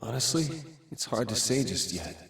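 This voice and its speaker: A man's voice: medium pitch, lively intonation, moderate pace, vocal fry, a bit bright, breathy edge, heavily acted. An actor voicing a conflicted young man who thinks aloud about his feelings in a game cutscene. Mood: pensive. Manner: earnest, searching, sometimes exasperated. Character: conflicted young man torn over his love life. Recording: with background music.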